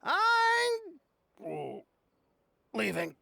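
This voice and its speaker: A man's voice: very high voice, huge pitch swings, drawn-out words, gravelly, sharp tone, no echo, exaggerated voice. An actor playing a tipsy, nervous, chatty man in a video game, hesitant and evasive. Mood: nervous, warning. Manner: hesitant, slurred drunken rambling with nervous chuckles.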